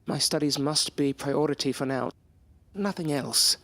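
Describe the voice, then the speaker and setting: An actor playing a headstrong, bookish young gentleman of a past century in an audio drama, speaking formally with old-fashioned diction. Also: fairly high pitch, a little slow, dry recording. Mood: exasperated, defiant. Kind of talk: period audio drama acting.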